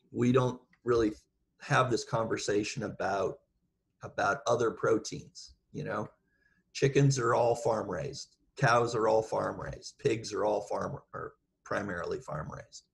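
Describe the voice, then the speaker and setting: Very gravelly man, measured explainer. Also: mid-range voice. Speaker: guest speaker, seafood industry professional. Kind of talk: informal expert talk answering questions. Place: video call.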